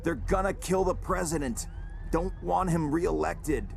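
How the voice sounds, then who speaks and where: An actor playing a panicked, desperate man who pleads for his life in halting, clipped fragments. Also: a little high, gravelly, a little mellow, slight background noise. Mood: urgent, anxious.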